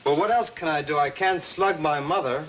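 A man's voice: a little high, moderate pace, lightly acted. A young man playing a sullen, defiant American teenage son in a 1950s film drama, curt and sardonic. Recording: noisy old film soundtrack with clicks.

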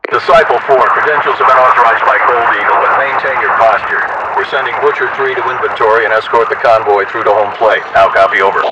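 A man playing a calm soldier, speaking in terse, procedural military chatter through a radio filter. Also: mid-range voice, quick pace, few pauses. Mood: businesslike. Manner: procedural, terse, uses call signs. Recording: noisy, distant military radio transmission.

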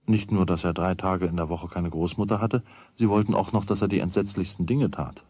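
A man with a husky voice narrating a children's radio play. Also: low-pitched, fairly even intonation, fairly quick, a little mellow, no echo. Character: storyteller of a children's tale.

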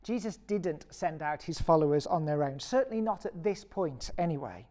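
A British woman vicar giving a recorded sermon in a measured, earnest and encouraging way. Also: deep voice, expressive, mellow. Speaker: parish vicar preaching.

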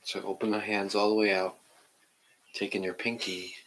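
A middle-aged man calmly guiding a meditation in a gentle, measured way. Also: lowish voice, rough recording. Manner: calm, measured. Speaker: meditation guide. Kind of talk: gentle guided meditation and reading aloud. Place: indoor room at home, seated on the floor.

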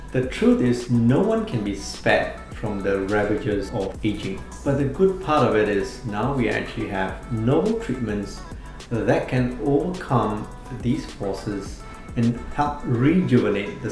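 Measured surgeon in echoey room. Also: male voice, mid-range voice, lively intonation. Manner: measured, explanatory, clinical terms. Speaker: plastic surgeon presenting his clinic. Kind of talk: scripted promotional explainer. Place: indoor studio before a plain backdrop. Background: soft background music.

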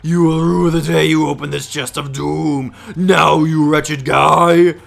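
A man's voice: on the high side, flat delivery, slow, gravelly, heavily acted. A man voicing a gloating, bumbling evil demon in a video game, with a bombastic, overdramatic delivery. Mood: gloating, theatrical. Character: gloating, theatrical evil cursed chest demon.

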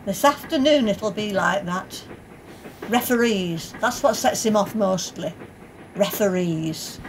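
An actress playing a chatty middle-aged wife gossiping in a radio play. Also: female voice, medium pitch, slow, slight background noise, natural voice, low-quality recording. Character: chatty gossiping football supporter's wife out shopping. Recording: train ambience behind.

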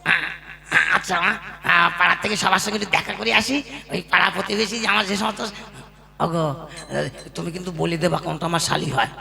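A man playing a jokey young son-in-law in a comic puppet play, speaking in a halting, playful way. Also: sits high, creaky, exaggerated voice. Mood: playful, polite. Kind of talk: comic Bengali puppet theatre acting. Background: loud accordion music.

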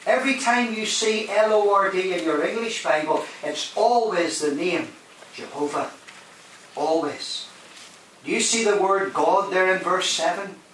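A preacher with a fairly high voice delivers an emphatic sermon, swinging between loud declarations and quiet asides. He is heard from a distance in a very reverberant hall with a faint hiss underneath. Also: unhurried. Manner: emphatic, rising and dropping for effect, questions the congregation. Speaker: guest preacher. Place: large hall.

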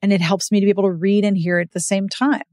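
A woman's voice: mid-range voice, little pitch movement, fast talker, dark tone, no echo, clean studio sound. A woman hosting a podcast, chatting casually and expressively in an interview. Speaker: podcast host.

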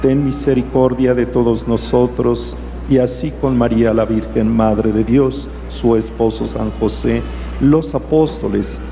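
Mellow older priest reading prayers. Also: male voice, medium pitch, steady tone, a little slow, gravelly, soft background music. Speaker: concelebrating priest. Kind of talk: liturgical prayer read from a book. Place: large church sanctuary. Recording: reverberant church, faint noise.

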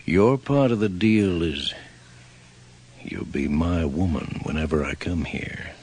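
A man's voice: lively intonation, takes it slowly, darkish tone, a little hiss or hum. An actor playing a sinister old railroad man in a radio horror drama, with a folksy rustic drawl and sly, bargaining manner. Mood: quietly menacing. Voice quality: very deep, gravelly.

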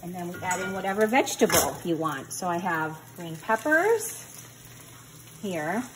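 A woman's voice: fairly low pitch, very animated, a little slow. Chatty woman cooking in a sizzling kitchen. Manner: chatty, joking, self-teasing. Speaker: home cook vlogger. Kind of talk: casual cooking demo. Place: home kitchen. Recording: noisy kitchen with sizzling pan.

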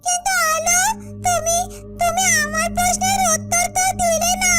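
An extremely high, squeaky little talking bird, loyal and earnest, from a fairy-tale cartoon. The pitch stays narrow and chirpy, with loud music underneath. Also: little pitch movement, normal speed. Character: small talking yellow bird, loyal, anxious messenger.

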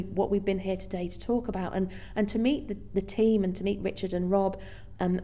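Mellow-voiced woman explaining in an interview. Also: medium pitch. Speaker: guest speaker, theatre finance professional.

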